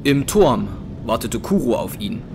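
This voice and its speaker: A man's voice: medium pitch, sing-song, a little slow, noisy background. A man giving scripted narration for a German audio drama. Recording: clear music behind narration.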